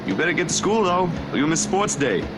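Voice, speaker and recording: A man voicing a troubled young photographer in a visual novel, hesitant, soft-hearted and awkwardly kind, with music behind him. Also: a little high, sing-song, fast, very noisy. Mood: warm, reassuring. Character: troubled young male photographer, gentle but anxious with children. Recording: loud music behind the dialogue.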